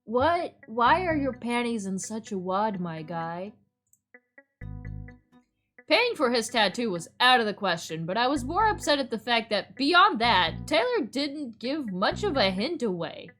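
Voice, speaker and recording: A woman's voice: fairly high pitch, big pitch swings, a little slow, a bit bright. A playful, theatrical woman streaming a visual novel reading with chatty, exclamatory commentary. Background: music.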